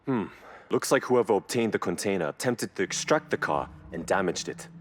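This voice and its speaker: An actor playing a knowledgeable, formal classic car expert in video game cutscene dialogue. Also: male voice, low-pitched, lively intonation. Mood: reflective. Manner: measured, formal, reverent about cars.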